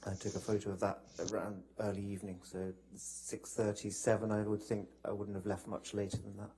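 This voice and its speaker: A man giving testimony as a witness in a courtroom, hesitant and measured, with halting answers. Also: on the low side, flat delivery, mellow, breathy edge. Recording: noisy courtroom broadcast feed.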